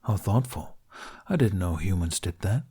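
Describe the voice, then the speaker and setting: A man voicing a blunt dragon companion in an audiobook, speaking in a flat, deliberate manner. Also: low-pitched, breathy edge, heavily acted. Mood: detached, observing. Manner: flat, deliberate, halting delivery. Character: blunt, flatly spoken male dragon companion. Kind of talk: audiobook character voice.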